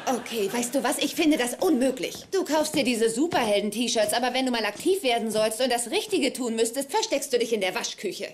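Woman acting as sarcastic no-nonsense girl, sitcom dub, highish voice, really noisy audio. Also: animated, a little fast, hardly any pauses, natural voice. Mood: exasperated. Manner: sardonic, direct. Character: blunt, sarcastic, down-to-earth young woman.

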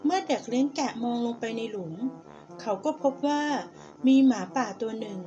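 High-voiced woman reading a fable. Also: moderate pace, mellow, muffled recording, soft background music. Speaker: storyteller.

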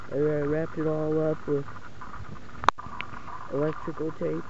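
A man giving a casual, halting, step-by-step hands-on walkthrough as a vlogger, recorded on a handheld camera. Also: a little high, gravelly. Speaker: vlogger showing a homemade CB radio setup. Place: backyard and indoors beside the equipment. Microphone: handheld camera near the speaker. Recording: dull lo-fi camera audio, loud noise.

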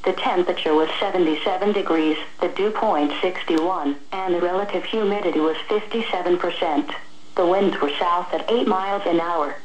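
A woman's voice: lowish voice, normal speed. A synthetic woman's voice with an American accent reads scripted weather observations as a radio announcer, formal and impersonal. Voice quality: synthetic text-to-speech voice. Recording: dull, echoey, slightly noisy radio.

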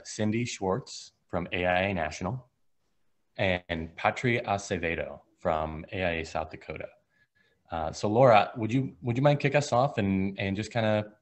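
Lowish-voiced male moderator. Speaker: panel moderator. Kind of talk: video call panel moderation.

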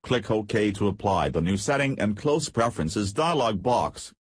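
A man narrating a scripted software tutorial in a flat, read-aloud instructional way. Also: fairly low pitch, normal speed, breathy edge, no room sound, clean studio sound.

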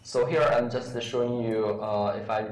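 Halting man demoing in an echoey hall. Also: mid-range voice, steady tone. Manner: halting, many fillers. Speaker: demo presenter. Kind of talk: live software demo at a meetup. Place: large lecture room with audience. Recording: echoey hall, faint room noise.

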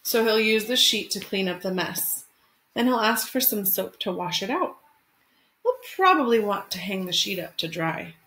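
A woman's voice: medium pitch, lively intonation, normal speed, fairly bright tone, rough recording. Woman teacher, faint background noise. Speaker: elementary school teacher. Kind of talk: reading a picture book aloud to children. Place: small room at home. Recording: home webcam recording with faint noise.

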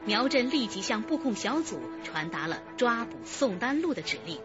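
配乐中音调偏高的女旁白. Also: female voice, fairly high pitch, very animated, normal speed, muffled recording, over music. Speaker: narrator. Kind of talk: scripted dramatic narration.

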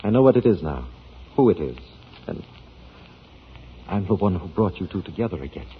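An actor in a 1940s radio drama playing a jealous, controlled husband with a formal, measured manner. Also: male voice, low-pitched, very animated. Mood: bitter restraint. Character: controlled, jealous, brooding husband of an opera star. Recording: tinny, noisy 1940s radio broadcast.

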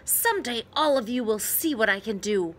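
A woman voicing a small, proud, indignant young fir tree in a storybook, defiant and deliberate. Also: a little high, expressive, a little hiss or hum, lightly acted. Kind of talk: storybook read-aloud character voice.

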